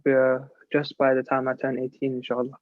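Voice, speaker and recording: A young man. His delivery is level and casual, with little movement in pitch. The sound is dry and a little dull, as on an internet call. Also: completely flat delivery, fairly quick, no echo. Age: 17, late teens. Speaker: guest, young martial artist. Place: video call.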